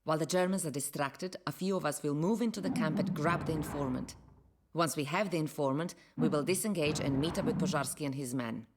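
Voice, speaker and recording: A determined partisan commander played by an actress lays out orders with deliberate authority and a Polish accent. It is military game drama, with clear battle noise behind her. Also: low-pitched, natural voice. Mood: resolute, commanding.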